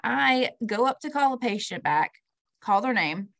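A woman co-hosting a casual podcast, telling stories in a chatty, relaxed way and swearing casually. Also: on the high side, unhurried, gravelly, no room sound. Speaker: podcast co-host. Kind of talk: casual chat.

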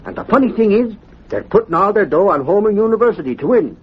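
High-voiced, excitable nosy sidekick. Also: male voice, fast, breathy edge, heavily acted. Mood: chatty. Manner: informal, inquisitive. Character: eager, nosy male sidekick, folksy and blunt. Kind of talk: 1940s radio drama acting. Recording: muffled old radio recording, faint noise.

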